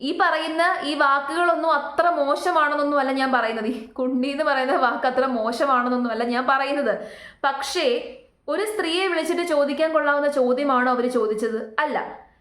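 A woman's voice: high-pitched, fairly bright tone. A woman giving opinionated reaction commentary, emphatic and fond of rhetorical questions. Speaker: YouTube commentator. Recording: roomy, echoing sound.

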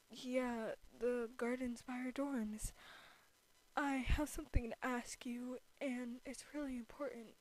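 A woman voicing a shy, sweet, anxious teenage boy hero in a fan-made audio roleplay, soft and stammering as they address the listener. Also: high voice, low-quality recording. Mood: nervous, hesitant. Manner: stammering, hesitant, earnest.

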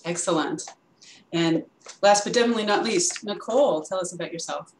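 Woman panel moderator, lowish, echoey room with faint hiss. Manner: brisk, encouraging. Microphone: video call.

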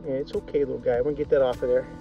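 A man's voice: mid-range voice, level tone, racing. A middle-aged man chatting informally outdoors as he films a vlog on a phone, with soothing asides to animals. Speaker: sailing vlogger. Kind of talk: casual vlog chat, talking to animals. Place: outdoors on a rocky, scrubby island shore. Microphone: phone camera, at arm's length or propped a few feet away. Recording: dull phone audio under loud music. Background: loud music.

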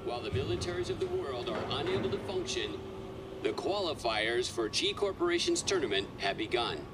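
An actor playing a news announcer in a game, reporting a crisis with grave, deliberate drama over rumbling traffic noise. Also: male voice, huge pitch swings. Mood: urgent, grave. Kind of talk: scripted news-style narration.

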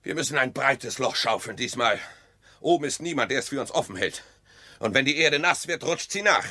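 Bright-voiced, husky, pedantic husband. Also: male voice, medium pitch, lively intonation, fairly quick. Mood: matter-of-fact. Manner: pedantic, dry, argumentative. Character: defensive, distrustful husband in a bitter marriage. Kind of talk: radio play acting.

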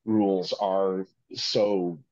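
A man in casual podcast chat over a video call, speaking colloquially with animated repetition. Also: low-pitched, extremely slow. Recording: dull lo-fi call audio.

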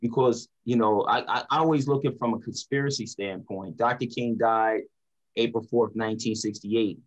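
A man's voice: medium pitch, fairly even intonation, moderate pace, rough recording. A middle-aged man, speaking earnestly and emphatically in an online discussion, often repeating words for emphasis. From North Philadelphia, United States. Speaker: preacher and motivational speaker. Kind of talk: casual discussion in an online panel. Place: small room at home or office, indoors. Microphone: video call computer mic.

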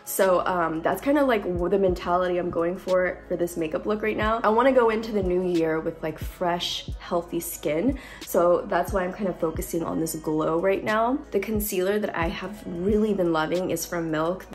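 A young woman filming a casual makeup tutorial at home on her phone, chatty with lots of likes and ums, her voice a little deeper from a cold. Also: normal speed, hardly any pauses, faint music behind. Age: young adult. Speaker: beauty vlogger. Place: bright room at home. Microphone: phone camera at close range.

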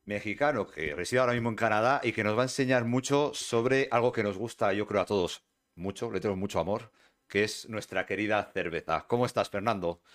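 Spanish man, 30s, low voice, podcast host reading a formal intro. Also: a little fast, a bit bright. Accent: Spain Spanish. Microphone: desk mic on video call.

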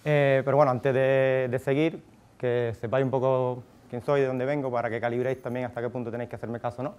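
Cuban man giving a relaxed tech talk. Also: medium pitch, quick pace, clean tone, dull audio. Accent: Cuban Spanish. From Cuba. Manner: casual, thinks aloud with many fillers and restarts. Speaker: conference speaker. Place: conference room, audience present. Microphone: handheld microphone.

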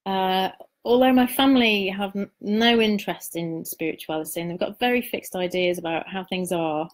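Middle-aged female spiritual coach, mid-range voice, informal live-stream delivery, introspective and open, somewhat halting. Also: medium pitch, fairly even intonation. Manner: reflective, confiding, halting with pauses and repeated words. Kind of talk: informal live-stream talk sharing personal experience.

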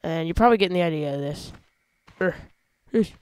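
A man hosting a casual gameplay video, talking through how he builds a vehicle, with excited yelps when he drives it. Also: on the high side, fairly quick, clean tone. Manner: chatty, explains while building, excited yelps when driving. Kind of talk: casual let's-play commentary.

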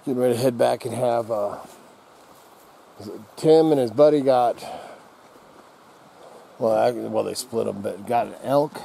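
A man's voice: mid-range voice, measured pace, gravelly, long pauses, dark tone, rough recording. A man rambling casually as he films himself walking through mountain woods, often sidetracked. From Idaho. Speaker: outdoor vlogger. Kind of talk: casual running commentary while hiking. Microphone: handheld camera.

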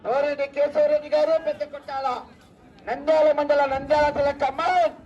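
A man commentates on a village race in an extremely high, exclamatory voice. He rouses people with long whoops and cries. The sound is distant and dull, as if over an outdoor loudspeaker. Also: little pitch movement. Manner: exclamatory, rousing, drawn-out whoops. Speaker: announcer at a rural bull race. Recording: muffled outdoor PA sound from far off.

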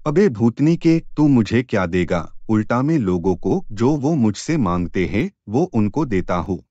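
Cartoon genie, played by a male narrator: brash, generous, slangy, very animated pitch, unhurried, dry sound. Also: no room sound, dull audio. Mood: boastful. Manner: slangy, reassuring, boastful. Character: blue male forest genie, brash but generous helper.

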